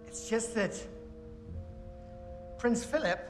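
An actor playing a nervous, placating servant in a fantasy film, hesitant and careful. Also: male voice, very high voice, expressive, slow, full character voice. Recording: film clip with music underneath.

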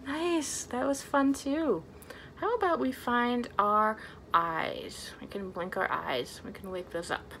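A woman's voice: a little high, slow pace, a bit bright, slight background noise. A young adult woman presenting a storytime for small children as a librarian, speaking to them in simple, encouraging phrases with lots of questions.

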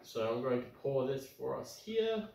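Australian man, 30s, croaky, chatty home cook, recorded from across a roomy kitchen. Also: mid-range voice, big pitch swings, moderate pace, a little mellow. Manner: chatty, upbeat, lots of ums. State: croaky from tonsillitis. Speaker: home cooking show host. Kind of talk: casual cooking demonstration. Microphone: camera across the kitchen. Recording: roomy kitchen sound, far from mic.

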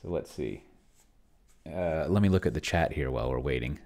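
A man's voice: very deep voice, moderate pace, vocal fry, a little mellow. A middle-aged man giving casual livestream commentary, rambling and thinking aloud as he types. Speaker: host of a solo tabletop game video.

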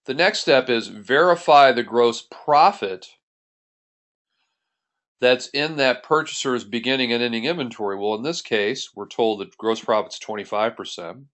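A man's voice: mid-range voice, normal speed, fairly bright tone. A man working as an accounting instructor, narrating a tutorial in a methodical, step-by-step way. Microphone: voice-over on a screen recording.

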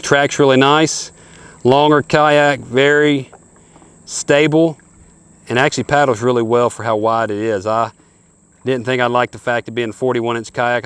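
A man's voice: medium pitch, a bit bright, clean tone, dry recording. A man in his forties hosting a hunting show, giving an upbeat, folksy walkthrough of kayaks outdoors by a lake. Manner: upbeat, folksy salesman-like patter. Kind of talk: product review walkthrough. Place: outdoors on a lakeshore.

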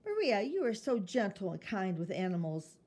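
A woman's voice: mid-range voice, sing-song, moderate pace, a little mellow. A woman voicing a kindly, good-humoured mother in a children's storybook, speaking in a reassuring way. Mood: gently amused. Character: gentle, good-humoured, indulgent mother of a little girl.

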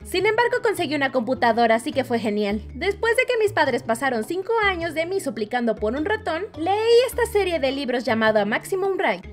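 A woman voicing an excitable young animal-obsessed animator, telling childhood stories in an expressive, playful cartoon fandub narration. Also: sits high. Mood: playful storytelling. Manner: expressive, playful, comically dramatic.

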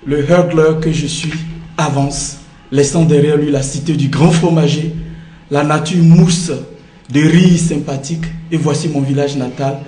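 A man's voice: on the high side, fairly even intonation, measured pace, warm tone. A middle-aged man, hosting a literary interview on a book show. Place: small indoor TV studio. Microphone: shared desk microphone. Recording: roomy, echoey studio sound.

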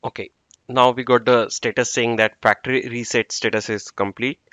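A man's voice: medium pitch, flat delivery, moderate pace, a bit bright, no echo. A man narrating a screen-recorded networking tutorial, talking through each step as he works, with fillers. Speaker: network engineer instructor. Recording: dull dry lo-fi screen recording.

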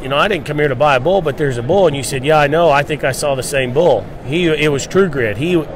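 A man's voice: fairly high pitch, fast talker, crowd noise. A middle-aged man hosting a casual promotional livestock talk into a handheld microphone. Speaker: livestock show host. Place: indoor convention hall booth.

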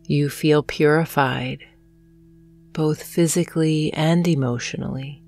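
A woman narrating a guided hypnosis meditation in a soothing, gentle manner, leaving long pauses between phrases. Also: low voice, steady tone. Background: soft meditation music with singing bowls.

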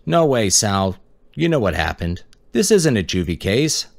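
A man's voice: lowish voice, sing-song, a little slow, creaky, voice pushed up, exaggerated voice. A man voicing a tired young male detective who pushes hard during questioning. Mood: indignant. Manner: pushy, needling.

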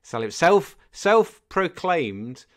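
A man's voice: fairly high pitch, big pitch swings, slow. A man in his thirties with a Scouse accent, co-hosting a podcast in casual chat, joking and rambling with lots of fillers. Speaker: podcast co-host. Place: home room, indoors. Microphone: desk condenser mic on video call.

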